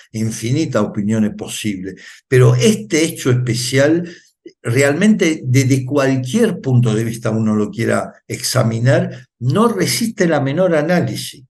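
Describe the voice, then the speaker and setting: A man's voice: mid-range voice, darkish tone. A man who grew up in Italy, a guest on a video call, giving emphatic political commentary with repeated phrases and frequent fillers. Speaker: interview guest.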